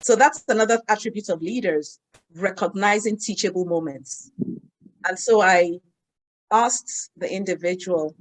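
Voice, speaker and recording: A woman's voice: medium pitch, unhurried, poor audio. Woman telling workplace stories. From Nigeria. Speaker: guest. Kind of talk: conversational interview storytelling.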